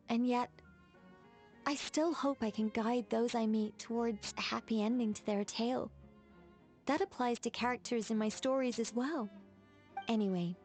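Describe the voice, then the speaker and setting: Female angel mage, played by an actress: higher-pitched, eloquent, whimsical game storyteller over soft music. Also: close to an everyday voice. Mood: breezy. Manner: theatrical, eloquent. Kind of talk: video game storytelling dialogue.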